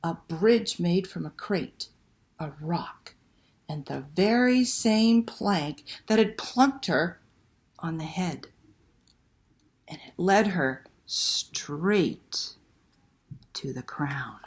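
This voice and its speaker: A woman telling a picture-book story to children in a dramatic, expressive read-aloud style. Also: on the low side, very slow, long pauses. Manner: dramatic, animated delivery with hushed passages and exclamations.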